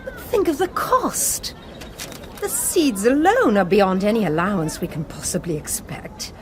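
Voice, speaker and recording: Actress as stern nun superior, crisp, reproving, animated pitch, faint hiss. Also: female voice, measured pace, some chatter behind, close to an everyday voice. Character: firm, proud nun superior.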